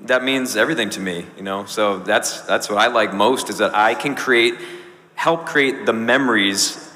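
A man's voice: lowish voice, moderate pace, sharp tone. A man of forty talking casually as a voice actor on a convention panel, into a handheld microphone. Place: large convention stage, indoors. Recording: echoey large hall.

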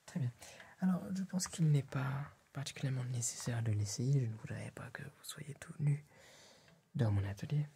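A man's voice: mid-range voice, normal speed, warm tone, a little hiss or hum. A young man in a whispered ASMR roleplay, speaking breathily and hesitantly as a polite, fussy tailor with a client. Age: young adult. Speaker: ASMR performer playing a tailor. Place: small room dressed as a tailor's workshop. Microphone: close ASMR microphone.

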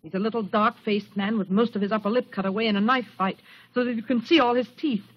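An actress playing an earnest, desperate young woman in 1940s radio style, with a husky voice. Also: a little high, steady tone, quick pace, natural voice. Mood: urgent. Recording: muffled old radio broadcast.

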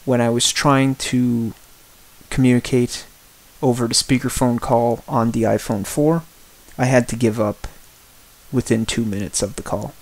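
A man giving a spoken personal-opinion tech review as a reviewer, in deliberate, halting phrases with emphatic repetition. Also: mid-range voice, fairly even intonation, darkish tone, rough recording. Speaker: product reviewer.

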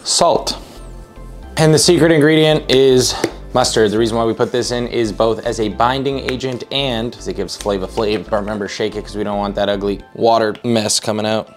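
A man's voice: lowish voice, moderate pace, soft background music. A young man casually talking viewers through a meal prep recipe while he cooks, upbeat and joking. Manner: upbeat, jokey, talks to viewers while cooking. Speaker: fitness vlogger. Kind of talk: casual cooking vlog talk.

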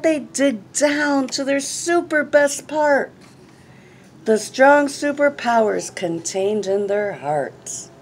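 Noise sits clearly behind this middle-aged woman as she reads a children's story in a small room. Her voice is on the high side, and she takes it very slowly. She delivers each phrase deliberately and with expression, as a storyteller for young children. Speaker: storyteller reading a picture book to children. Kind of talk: read-aloud storytelling. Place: small classroom, indoors. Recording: clearly noisy room recording.

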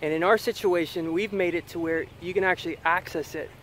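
American male vlogger, thirties, slightly higher pitch, casual explanatory tour delivery, outdoor handheld sound with faint ambient noise. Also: fairly even intonation, fairly quick, a bit bright. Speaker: farm vlogger giving a tour. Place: outdoors on a farm. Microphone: camera at arm's length.

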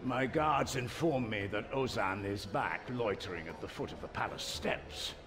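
An actor playing a crafty, stern royal spymaster in a video game, speaking formally and deliberately. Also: male voice, fairly low pitch, echoey room, lightly acted. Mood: concerned. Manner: formal, deliberate, measured diction. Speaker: spymaster character.

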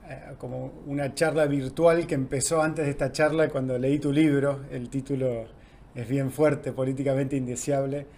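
A man's voice: medium pitch, flat delivery, normal speed, dark tone, slight background noise. A man in his forties with an Argentine accent, hosting a video call interview in a casual, hesitant way. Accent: Argentine Spanish. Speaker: podcast host. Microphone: video call with earbuds.

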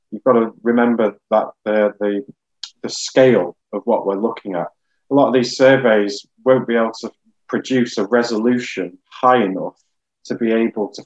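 A man in his thirties giving measured explanations as a geologist guest on a webinar video call. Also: lowish voice, husky, dull audio. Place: small room, indoors, on a video call.

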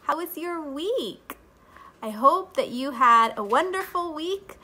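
Woman, thirties, children's Bible lesson, sing-song teacher voice, slightly stuffy, high and bright. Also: animated, slow pace. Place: living room at home. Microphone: webcam or phone camera at close range.

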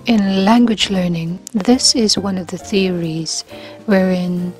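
Woman in her 30s, slow unhurried delivery, soft near-whispers, faint music under it. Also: mid-range voice. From Philippines. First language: Filipino. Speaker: language-learning vlogger. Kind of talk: slow reflective monologue.

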